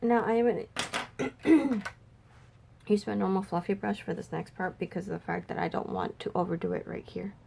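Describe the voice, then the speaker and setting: Slightly raspy, congested woman chatting casually. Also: medium pitch, moderate pace, mellow. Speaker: makeup vlogger. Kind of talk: casual makeup swatch review chat.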